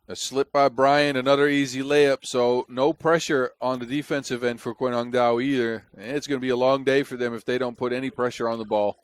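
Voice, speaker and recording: A man's voice: mid-range voice, fairly bright tone, clear, resonant tone, dry recording. A man from the Netherlands with a Dutch accent, giving chatty, wry play-by-play basketball commentary on a close mic. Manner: chatty and wry, with jokes between plays.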